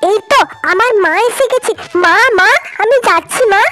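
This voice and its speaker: A woman's voice: extremely high pitch, moderate pace, over music. A woman playing an innocent young girl raised in the forest by a tigress, in a cartoon fairy tale. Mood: excited.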